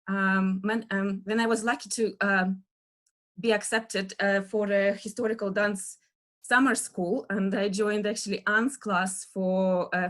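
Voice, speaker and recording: A woman with a Russian accent talks through an online lecture on a video call, her voice at an everyday middle pitch. She hesitates often, filling gaps with ums and small laughs while she gathers her words. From Russia (Western Siberia). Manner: many fillers, soft laughs, searching for words. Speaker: dance historian giving an online lecture.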